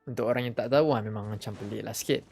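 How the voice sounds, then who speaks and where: A woman's voice: extremely low pitch, very fast, gravelly, darkish tone, slight background noise. A woman with a Malaysian accent giving casual game livestream commentary, switching between Malay and English, with game music behind.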